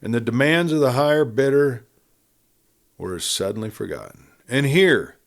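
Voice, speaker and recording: Man narrating a western, lively swinging pitch. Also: moderate pace, vocal fry. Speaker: audiobook narrator. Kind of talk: scripted narration of a western novel.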